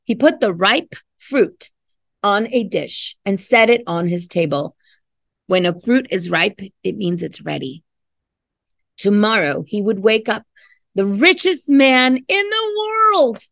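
Expressive, slow-paced woman reading to children. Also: medium pitch, vocal fry, dry recording, studio quality. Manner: expressive, explains words and prompts listeners with questions. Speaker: art teacher reading a picture book aloud. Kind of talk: storybook read-aloud for children, with questions to listeners. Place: classroom, indoors.

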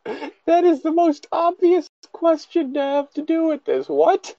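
A man's voice: very high voice, big pitch swings, unhurried, no echo, lo-fi sound. A man casually reading a story aloud and breaking off with exasperated, incredulous, sweary commentary.

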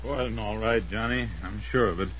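A man's voice: fairly low pitch, a little fast, fairly bright tone, a little hiss or hum, natural voice. A man acting an assured, methodical medical expert in an old-time radio mystery. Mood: grave, measured. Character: assured, methodical male doctor and forensic expert. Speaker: supporting actor. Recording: muffled old radio recording.

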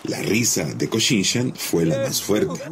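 A man's voice: low voice, lively intonation, a little slow, over a noisy crowd. A man narrating a scripted folk tale for children as a storyteller. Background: music.

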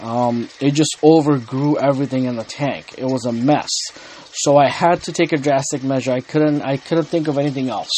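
A man's voice: mid-range voice, steady tone, moderate pace, warm tone, slight background noise. A man hosting a hobby channel, talking casually and unhurriedly, with frequent ums and restarts as he explains his tank. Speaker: aquarium hobby channel host. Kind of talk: casual explanatory talk to camera. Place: indoor home room with the aquarium.

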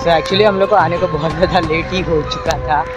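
Chatty young man with a high voice. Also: fairly even intonation, moderate pace, loud music behind the voice. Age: young adult. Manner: chatty, mixes English words into Hindi, laughs easily. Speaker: vlogger. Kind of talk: casual vlog narration. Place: city garden outdoors at dusk, then a busy restaurant. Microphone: phone held at arm's length.

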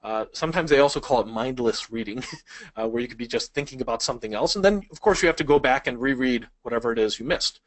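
A man in his thirties gives a relaxed online lesson, chatting through his points with plenty of "you know" and "uh". He talks at a middle pitch into a headset mic in a small indoor room. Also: fast talker. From Chicago, United States. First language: Polish. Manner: casual, conversational teaching with frequent fillers. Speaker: speed reading instructor.

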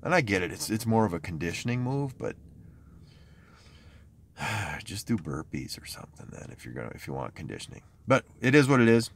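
A man's voice: fairly low pitch, very animated, a little fast, gravelly. A middle-aged man vlogging casually from his car and home, chatty and a self-described complainer. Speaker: fitness vlogger. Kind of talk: casual vlog talk. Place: parked car, then outside a truck, then home office.

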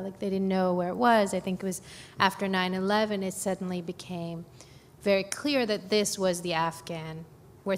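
A woman from Brooklyn recounting her life in an oral history interview, hesitant with many pauses and fillers. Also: lowish voice. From Brooklyn, New York. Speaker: oral history narrator on a panel. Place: conference hall. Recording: echoey hall, faint background noise.

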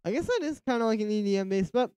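Nasal man chatting over loud electronic music. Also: high-pitched, rapid-fire. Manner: relaxed, musing aloud, reads chat replies. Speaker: music producer streamer. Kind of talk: casual livestream chat while producing. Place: home studio, indoors. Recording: livestream with loud music under voice. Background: electronic music playing.

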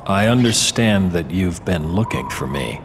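An actor playing a cold, taunting villain swordsman in a video game cutscene, speaking with slow control. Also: low voice, lively intonation, in a large hall, background noise. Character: cold, menacing one-winged male villain swordsman.

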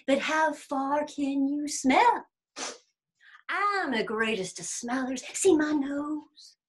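A woman voicing a boastful, sulky male bear in a storybook, with a blustering, drawn-out and emphatic delivery. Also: high-pitched, pitched above the performer's own voice. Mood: scheming, bragging. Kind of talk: animated storybook reading.

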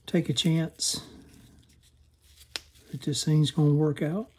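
A man giving a casual, low-key, step-by-step narration of hands-on repair work, matter-of-fact and a little hesitant. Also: little pitch movement, takes it slowly, a little hiss or hum. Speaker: radio restorer narrating a repair.

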